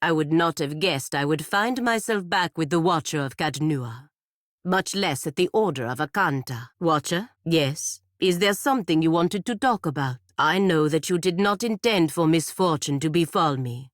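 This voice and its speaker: Stern, dutiful female knight for a fantasy game: deep register, measured formal delivery, dry studio sound. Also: animated, no echo. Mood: wry, guarded, then cold, curt. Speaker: video game character voice actor. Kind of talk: scripted video game dialogue.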